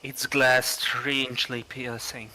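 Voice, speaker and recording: A man's voice: mid-range voice, level tone, takes it slowly, bright, forceful tone, poor audio. A man reading a horror visual novel aloud on a livestream, with casual commentary in between. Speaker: livestreamer playing a horror game.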